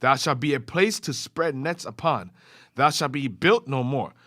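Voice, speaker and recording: Man, scripture reader, lively rising and falling pitch, formal steady reading. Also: a little slow.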